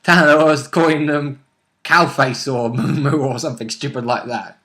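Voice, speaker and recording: A British man with a medium-pitched voice chats casually about a tabletop game he plays in. His manner is wry and irreverent, with a readiness to swear. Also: steady tone, normal speed, bright, forceful tone. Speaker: player in a tabletop role-playing game. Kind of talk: casual chat.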